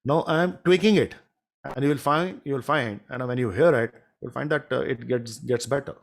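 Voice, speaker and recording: A man with a baritone voice from India, giving a halting live demonstration of audio mixing in his home studio, recorded on a condenser microphone from a distance. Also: creaky. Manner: hesitant, many fillers and restarts. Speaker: music studio instructor.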